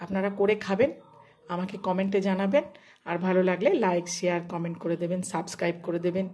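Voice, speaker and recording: A woman's voice: lowish voice, fairly even intonation, quick pace, mellow. A woman, a home cook narrating a recipe step by step in plain, short, casual phrases. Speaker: home cook hosting a cooking channel.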